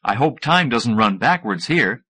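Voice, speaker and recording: A man's voice: medium pitch, lively intonation, unhurried, pushed and bright, slightly rough, heavily acted. A man voicing an impatient, sardonic male scientist, the hero of an audiobook novel, in a direct manner. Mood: brisk.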